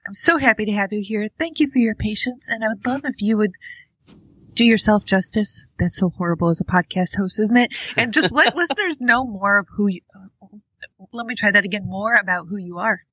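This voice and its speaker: A woman's voice: mid-range voice, brisk, fairly bright tone, husky, dry recording, low-quality recording. A woman hosting a podcast, upbeat and chatty as she opens the show and greets her guest. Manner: upbeat, chatty, self-deprecating. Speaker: podcast host. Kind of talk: podcast intro and interview.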